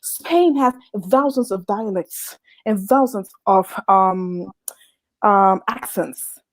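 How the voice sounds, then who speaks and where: A woman's voice: medium pitch, takes it slowly, dry recording, poor audio. A woman from the Dominican Republic, a guest in a heated casual debate, who talks with animation, laughs mid-sentence and restarts words.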